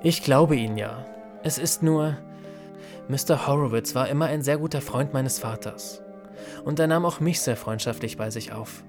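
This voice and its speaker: A man's voice: mid-range voice, moderate pace, music in the background, close to an everyday voice. A young man playing a loyal, protective youth in an audio drama, earnest, polite and slightly formal. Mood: shaken, earnest. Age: young adult. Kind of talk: German audio drama acting.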